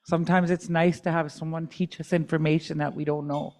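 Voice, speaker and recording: A woman's voice: deep voice, level tone, a little fast, darkish tone. A middle-aged woman advocate giving candid personal testimony at a community dialogue, with joking asides.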